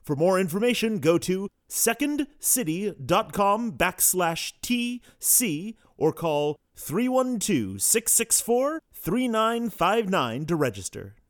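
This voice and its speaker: High-voiced, animated male podcast announcer. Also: slow pace, no room sound, studio quality. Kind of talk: light, semi-scripted promo reading.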